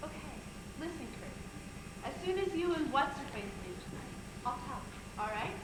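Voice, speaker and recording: A flustered, evasive young girlfriend acted by a young woman talks defensively in an amateur stage play. Her very high, lively voice echoes in the theater. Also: normal speed. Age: young adult. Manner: hesitant, defensive. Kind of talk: amateur stage drama acting. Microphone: distant audience camcorder. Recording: echoey, noisy distant camcorder audio.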